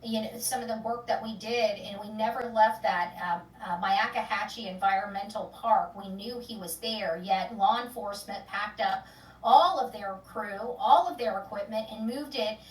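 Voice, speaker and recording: A woman's voice: mid-range voice, moderate pace, roomy sound, far from the mic, low-quality recording. A woman hosting an online true-crime channel, rambling casually with many fillers and restarts before an upbeat sign-off. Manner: loud, upbeat sign-off catchphrases. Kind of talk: casual chat, sign-off to viewers.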